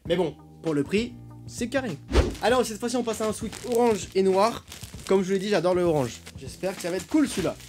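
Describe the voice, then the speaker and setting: A young man in his twenties trying on clothes for a vlog, chatty and animated, with quick reactions and self-mocking asides, speaking into a boom-arm studio mic in a small bedroom. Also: soft background music. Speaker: youtuber. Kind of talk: clothing try-on haul vlog. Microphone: studio mic on boom arm in front.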